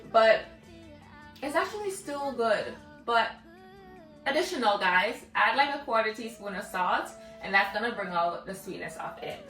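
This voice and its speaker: A woman with a Jamaican accent talks viewers through her baking in a loose, chatty way, leaning on "like" and "guys". Her voice sits a bit high. She is picked up from some distance in a reverberant room with soft music underneath. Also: lively intonation, moderate pace. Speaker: cooking vlogger. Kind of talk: casual cooking vlog.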